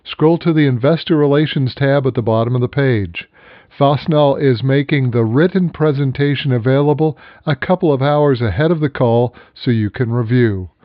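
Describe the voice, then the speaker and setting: Guy reading scripted market news, measured and formal, mid pitch, dull lofi audio. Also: no room sound. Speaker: host of a financial program. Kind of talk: scripted financial news narration.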